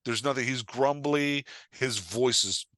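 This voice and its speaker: Guy guesting on a podcast, explaining stuff in an emphatic chatty way, dry sound, average pitch. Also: unhurried, no echo. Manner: emphatic, animated storyteller who often checks in with "right?". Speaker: podcast guest, writing coach and author. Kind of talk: casual, explanatory interview chat with examples.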